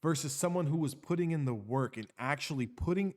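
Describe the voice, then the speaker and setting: A man's voice: on the high side, animated, a little fast, a little mellow. A man giving an unscripted motivational monologue. He talks emphatically, repeats phrases for effect, tags lines with "okay?" and swears casually. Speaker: motivational speaker.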